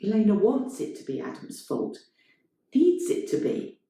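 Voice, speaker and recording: A woman reading literary fiction aloud as a storyteller, in a measured, dramatic way. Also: medium pitch, wildly swooping pitch, mellow. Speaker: story reader. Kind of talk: scripted literary narration, performed reading. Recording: very echoey room.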